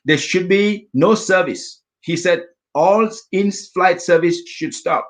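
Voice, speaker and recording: A middle-aged man from Africa telling a vivid personal story as a guest speaker, acting out his own inner thoughts in lively asides. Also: sits high, slow. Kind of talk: storytelling talk.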